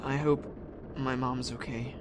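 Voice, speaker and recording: Over clear vehicle noise comes a man playing a headstrong teenage boy in an amateur audio drama. He talks in a middling male pitch, blunt and informal. When he is earnest, his words come haltingly. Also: flat delivery, close to an everyday voice. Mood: worried, determined.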